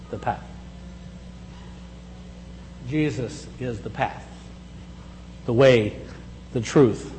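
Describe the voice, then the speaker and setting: A man in his sixties delivers a sermon in an easy, reflective way, slowing into deliberate pauses for emphasis. His voice sits at an ordinary pitch and carries the long reverb of a large hall, over a faint steady hum. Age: 60s. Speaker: pastor.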